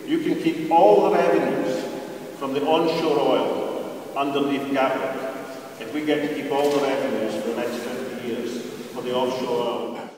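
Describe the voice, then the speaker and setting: A man's voice: mid-range voice, moderate pace, a little mellow. Skeptical man speaking in an echoey hall. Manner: skeptical, dryly humorous. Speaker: speaker at a public talk. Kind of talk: public talk to an audience. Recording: echoey hall from far off, faint hiss.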